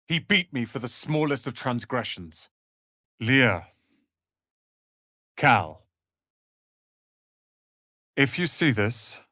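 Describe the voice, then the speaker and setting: An actor playing a proud, bitter young tiefling wizard in a video game, speaking in a haughty, theatrical, sardonic way. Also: male voice, medium pitch, sharp tone, no room sound, clean studio sound. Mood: grave, regretful. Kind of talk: video game character acting.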